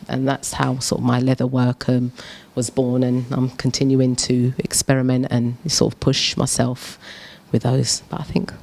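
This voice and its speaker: A woman's voice: a bass voice, monotone, moderate pace, gravelly, a little mellow. A woman artist speaking on a panel, hesitant, searching for words as she talks. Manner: hesitant, many fillers and restarts. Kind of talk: casual panel talk. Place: gallery discussion event.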